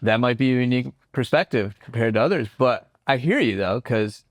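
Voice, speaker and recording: A man's voice: medium pitch, animated, a little fast, dry recording, studio quality. A man hosting an interview, prompting his guest with short encouraging questions. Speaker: interview host. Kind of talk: casual interview. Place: convention floor.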